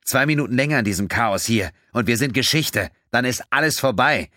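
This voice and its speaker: A man voicing a gruff male starship pilot who stays cocky under pressure, talking in clipped, wry bursts. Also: mid-range voice, lively intonation, fairly quick, pushed and bright, no room sound, clean studio sound, pitched above the performer's own voice. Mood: blunt.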